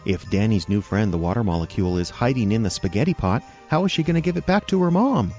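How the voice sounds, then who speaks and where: A man's voice: lowish voice, lively intonation, fast talker, mellow, faint music behind. A man narrating a scripted children's radio story, speaking straight to young listeners as a storyteller.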